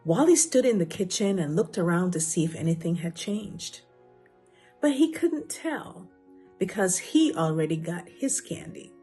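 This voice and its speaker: Slow, mellow woman reading a storybook. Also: on the low side, lively intonation, husky, soft background music. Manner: measured read-aloud. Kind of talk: children's storybook narration.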